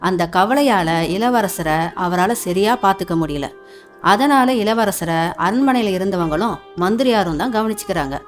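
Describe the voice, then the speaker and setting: A woman narrator telling an animated folktale. She has a medium-pitched voice and explains the story in colloquial language, with faint music underneath. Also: moderate pace.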